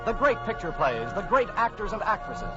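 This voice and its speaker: A man's voice: high voice, very animated, brisk. An American man announcing a 1940s radio show in a formal, grand, declamatory scripted style. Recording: dull 1940s radio broadcast, faint music.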